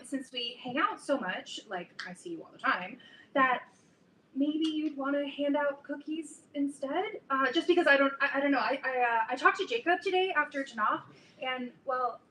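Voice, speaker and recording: A woman's voice: high voice, expressive, normal speed, a bit bright. A woman playing a boy-crazy, melodramatic teenage girl who rambles and stumbles, in a staged reading of a comedy. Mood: sheepish, hedging. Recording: echoey room, distant mic, background noise.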